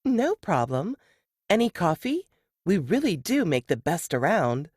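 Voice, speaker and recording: A woman's voice: fairly low pitch, sing-song, unhurried, darkish tone, breathy edge, no echo, clean studio sound, heavily acted. A woman voicing a friendly, easygoing female café worker in an audiobook story, casual and helpful. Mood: chatty. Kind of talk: audiobook character dialogue.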